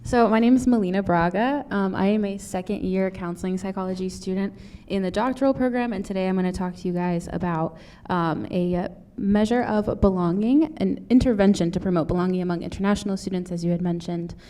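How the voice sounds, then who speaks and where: Young female grad student presenter, soft-spoken and earnest, warm and resonant on the mic. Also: mid-range voice, a little fast, few pauses. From Brazil. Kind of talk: conference presentation. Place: lecture room. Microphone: handheld or podium mic.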